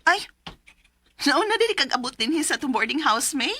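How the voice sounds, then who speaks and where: An earnest young Filipina daughter speaks in a high, bright voice with a Cebuano accent. She is expressive, telling her story in the manner of a nineties radio drama. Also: a little fast, heavily acted. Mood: lively. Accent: Cebuano Philippine. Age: young adult. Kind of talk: 1990s Bisaya radio drama acting, narrating her story.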